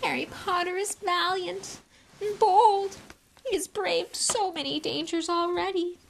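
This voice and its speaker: A woman voicing a tiny, servile male house-elf with a squeaky, strained, trembling voice, who talks about himself in the third person and pleads fervently. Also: steady tone, a little slow, vocal fry, slight background noise, exaggerated voice, poor audio. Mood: pleading.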